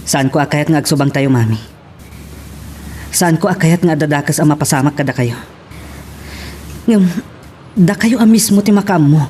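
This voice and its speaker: A woman's voice: low-pitched, moderate pace, long pauses, a little mellow, a little hiss or hum, close to an everyday voice. An actress with an Ilocano accent playing an exasperated daughter pleading with her mother in a radio drama. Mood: pleading, firm.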